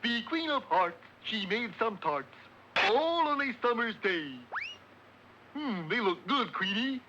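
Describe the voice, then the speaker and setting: A man's voice: very high-pitched, sing-song, slow, exaggerated voice. A man voicing a big, dim-witted, good-natured moose in an old cartoon, with a goofy, earnest way of declaiming. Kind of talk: 1960s cartoon voice acting. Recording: dull lo-fi noisy old cartoon soundtrack.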